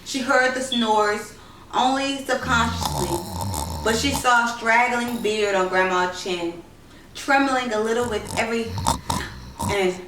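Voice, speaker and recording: A woman's voice: mid-range voice, distant mic. A woman narrating a literary short story, reading aloud from the text. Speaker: story reader. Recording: roomy echo, noisy, off-mic home recording.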